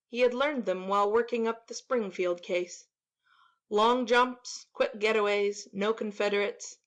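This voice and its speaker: A woman reading a classic story aloud as an audiobook narrator, in scripted narration. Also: medium pitch.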